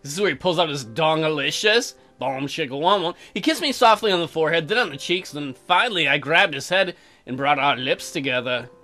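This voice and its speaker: A let's play host reads visual novel text aloud and cuts in with sarcastic, crude jokes. The voice is bright and animated, with soft music in the background. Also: medium pitch, brisk. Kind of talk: reading game text with joking commentary.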